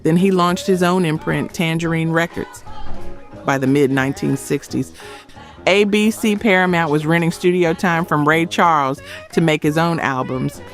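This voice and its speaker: Man, slightly high voice, scripted documentary narrator, punchy short phrases, music underneath. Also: moderate pace. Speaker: narrator of a music history video. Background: background music.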